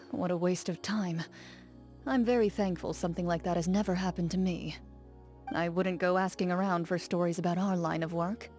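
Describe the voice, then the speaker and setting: Casting: actress as a guarded young duelist, dark mellow tone, slightly low, formal and restrained. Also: female voice, close to an everyday voice. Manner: calm, measured, formal and reserved. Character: stoic young woman duelist, composed and guarded. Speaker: game character. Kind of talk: game character voice lines.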